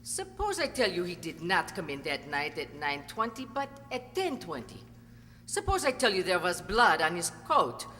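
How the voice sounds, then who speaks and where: A woman's voice: on the low side, sing-song, sharp tone. Woman acting a haughty femme fatale, swooping voice. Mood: bitter, venomous. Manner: theatrical, haughty, deliberate. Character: cold, bitter Viennese ex-actress, scornful and secretive. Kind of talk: radio drama acting. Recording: echoey hall, noisy hum.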